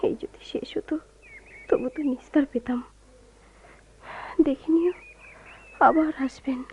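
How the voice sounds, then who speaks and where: A woman's voice: high-pitched, measured pace. An actress playing a sorrowful, loyal young woman in a Bengali radio drama, with plaintive, emotional delivery. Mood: anguished. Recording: tinny, noisy lo-fi radio recording.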